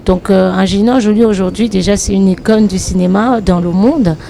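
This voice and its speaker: Actress in an interview, reflective and halting, gravelly mellow voice. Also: female voice, medium pitch. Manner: reflective, hesitant, many pauses. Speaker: actress and comedian. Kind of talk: interview answers.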